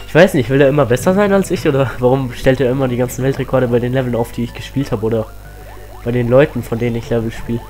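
A teenage boy with a cold, chatting excitedly as he plays a platform game on his channel, full of rambling asides. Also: medium pitch, fast talker, soft background music. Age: teens. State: has a cold. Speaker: let's play host. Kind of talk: casual game commentary.

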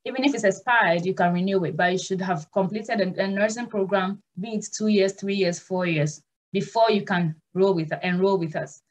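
A woman's voice: medium pitch, fairly even intonation, normal speed, low-quality recording. Earnest woman on a video call. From Ghana. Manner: earnest, direct and reassuring. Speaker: nurse and migration consultant host. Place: small room at home.

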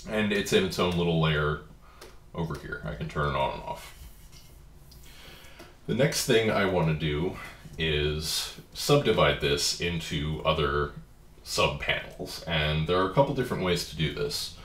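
A man with a stuffy head cold, calmly walking through a drawing program step by step in a tutorial. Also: extremely low pitch, a little slow, roomy sound. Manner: relaxed, plain step-by-step explaining. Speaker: comic artist giving a software tutorial. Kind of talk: screen-recorded software walkthrough.

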